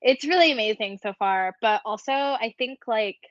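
A woman's voice: fairly high pitch, normal speed, no echo, lo-fi sound. A young woman co-hosting a podcast in casual chat, rambling and filling her talk with "like". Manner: chatty, rambling, heavy use of "like". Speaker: podcast co-host.

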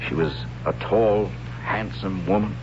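Low-voiced, gentle young captive played by a man, earnest and hesitant; dull vintage radio sound with music underneath. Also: steady tone, a little slow, background noise, close to an everyday voice. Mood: narrating. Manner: soft-spoken, earnest, hesitant. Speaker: captive narrator of the story. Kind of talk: 1970s radio drama acting and narration.

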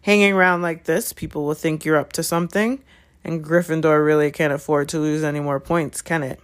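Lady doing a creepy smooth male professor, sneery, slow n threatening, low, storybook villain. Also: fairly even intonation, close to an everyday voice. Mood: smooth, mocking. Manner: smooth, deliberate.

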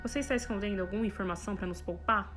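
A woman's voice: medium pitch, racing, fairly bright tone, soft background music, natural voice. A woman playing a skeptical, intense scientist in an audio drama, questioning insistently and earnestly. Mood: suspicious, probing. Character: skeptical, probing female scientist, troubled and intense.

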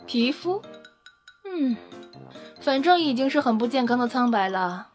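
A woman playing a confident, teasing scientist in an audio drama, brisk and matter-of-fact with a playful, self-satisfied manner. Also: sits high, big pitch swings, faint music behind. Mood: casual, appraising. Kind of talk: Chinese audio drama acting.

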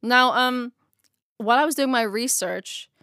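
A woman's voice: fairly high pitch, a little slow, pushed and bright, no room sound, studio quality. A woman co-hosting a casual Bible study chat, slangy and laughing often.

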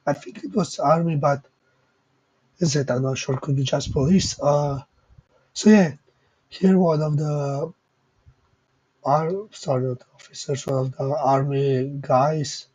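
A man with a raspy voice from a cold, talking hesitantly with many fillers and self-corrections while recapping race results. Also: medium pitch, fairly even intonation, a little slow, a little mellow. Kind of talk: casual unscripted commentary. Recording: dull lo-fi mic.

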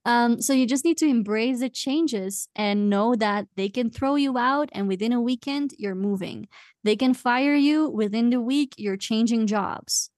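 A woman's voice: fairly high pitch, a little slow, a little mellow, no room sound, studio quality. A woman in her late twenties from Belgium, chatting casually as an interview guest and laughing often. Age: late 20s.

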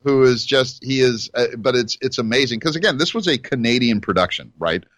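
A man's voice: medium pitch, expressive, brisk, a bit bright, dry recording, studio quality. Rambling, playful man co-hosting a podcast. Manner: rambling, with many restarts and playful impressions. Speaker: podcast co-host. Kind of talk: casual chat.

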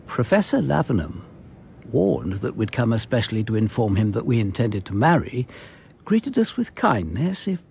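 An actor playing a composed, courteous senior detective in a radio drama, with formal, measured speech and an English accent. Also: male voice, mid-range voice, very animated, creaky, darkish tone, a little hiss or hum. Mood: composed, wry. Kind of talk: radio drama, first-person narration and dialogue.

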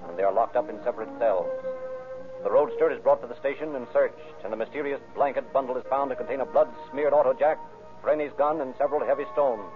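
Music plays behind a narrow, phone-like vintage radio recording of a male narrator. He reads crime drama briskly, in a formal, dramatic manner. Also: medium pitch. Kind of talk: scripted old-time radio crime narration.

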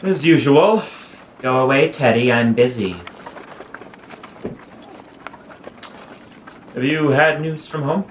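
A woman playing an idle, teasing young gentleman in a period audio drama, languid, wry and mock-courteous. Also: extremely low pitch, normal speed. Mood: casual, curious. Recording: roomy echo, faint background noise.

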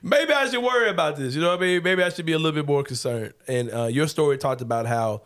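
A man's voice: a little high, animated, quick pace, a bit bright. A man, hosting a casual sports talk show in a laid-back, joking, slangy way. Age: 40s. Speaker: podcast host.

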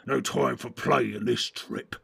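Storybook orc soldier: harsh, hoarse-edged and tense, quarrelsome and impatient. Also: male voice, mid-range voice, fairly even intonation, a little slow, bright, forceful tone, dry recording, full character voice. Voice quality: harsh, raspy. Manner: snappish, grumbling. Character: quarrelsome, bloodthirsty, impatient rank-and-file orcs. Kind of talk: audiobook character voice.

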